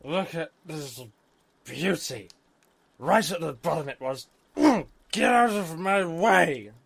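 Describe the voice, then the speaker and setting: A man's voice: fairly high pitch, expressive, slow pace, vocal fry, crowd noise, full character voice. A man playing a drunken, boastful, scruffy man in his fifties in a fantasy audio drama, bellowing in a slurred drawl. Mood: drunken triumph.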